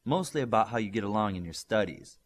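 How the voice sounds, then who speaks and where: A man's voice: on the low side, expressive, quick pace. A man voicing a gracious, practical adult mother who hosts young superheroes in a storybook. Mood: reassuring.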